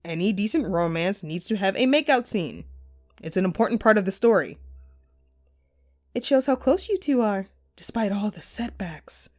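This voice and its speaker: A woman's voice: mid-range voice, lively intonation, normal speed, a little mellow, lightly acted. A woman playing a vengeful, sadistic jilted actress in a horror audio roleplay, mocking and theatrical, with menacing playfulness. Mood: taunting, sinister playful.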